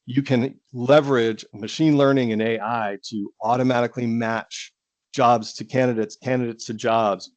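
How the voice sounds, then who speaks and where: A man presenting business software in an explanatory way, with somewhat hesitant phrasing. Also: fairly low pitch, no echo, dull audio. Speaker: webinar presenter.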